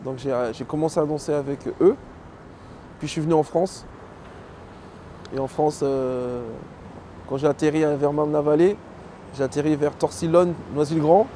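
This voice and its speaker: A man's voice: on the high side, moderate pace, pauses often, slight background noise. A middle-aged man from Belgium with a Congolese family, a professional dancer, telling his own story casually in an interview, with lots of fillers. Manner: relaxed, many fillers, lists names. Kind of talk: casual interview, personal history.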